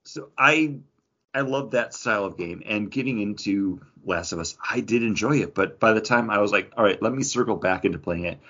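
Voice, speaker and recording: Husky-voiced man chatting casually. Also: on the low side, brisk, sharp tone, lo-fi sound. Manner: rambling, self-quoting, peppers talk with "like". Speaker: podcast co-host. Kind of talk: casual pop culture chat.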